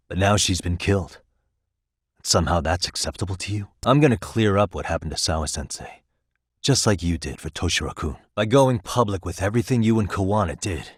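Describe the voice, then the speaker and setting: Deep-voiced, probing American detective. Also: male voice, husky, no echo, clean studio sound. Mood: grave, pressing. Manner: measured, probing, pointed rhetorical questions. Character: sharp, determined adult male private detective, dry and probing. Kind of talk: video game voice acting, dramatic dialogue.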